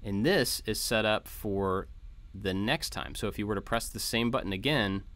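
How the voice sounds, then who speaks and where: Deep voiced guy doing a laid out step by step code walkthrough, informal tutorial voice-over. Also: moderate pace, a bit bright. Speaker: host of a maker tutorial channel. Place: voice-over for a screen recording.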